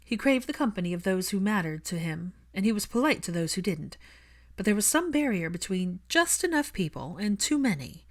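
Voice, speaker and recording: A woman's voice: mid-range voice, sing-song, fairly quick. A woman reading fiction aloud as an audiobook narrator, in a scripted storytelling style.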